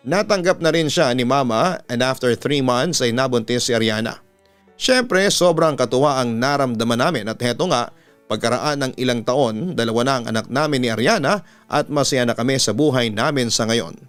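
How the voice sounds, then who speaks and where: A man's voice: mid-range voice, moderate pace, a bit bright, smooth. A man with a Filipino accent, a radio announcer reading a listener's letter as a dramatic scripted story. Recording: music bed under the voice. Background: instrumental music.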